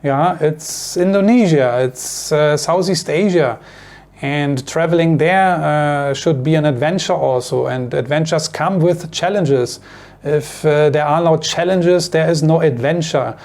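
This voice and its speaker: A man's voice: a little high, measured pace, darkish tone. A man from Germany with a strong German accent, German being his mother tongue, talking casually to camera as a vlogger, rambling and repeating himself with many fillers and "you know" and "right?" tags.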